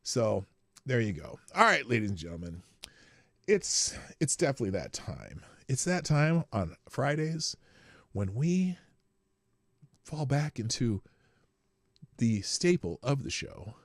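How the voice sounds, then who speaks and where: A man hosting a live stream in casual solo commentary, sarcastic and animated, swearing and muttering to himself between points. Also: mid-range voice, slow, pauses often, dry recording, clean studio sound.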